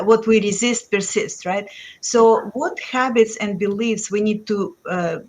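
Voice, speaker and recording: A woman with a Lithuanian accent hosting an interview, hesitant with many fillers. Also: medium pitch. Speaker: host. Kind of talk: interview host.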